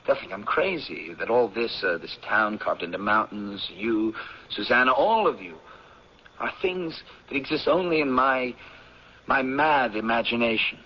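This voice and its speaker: A male actor plays an excitable young man in a vintage radio play, incredulous and quick to protest. His voice sits at an ordinary male pitch. The recording is muffled, with hiss and noise behind him. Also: natural voice. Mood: hesitant, reflective. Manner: excitable, protesting, stammering when unsure. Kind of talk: old-time radio drama acting.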